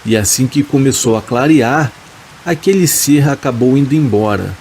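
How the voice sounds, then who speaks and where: A man reading a first-person horror story aloud in a slow, calm, measured way, as a storytelling narrator. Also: mid-range voice, slight background noise. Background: rain sounds.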